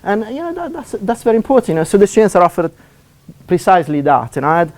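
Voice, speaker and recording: A man from Italy, speaking English with an Italian accent, giving a seminar talk as a university lecturer; he talks fast with many fillers and restarts. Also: high-pitched, very animated, a little mellow.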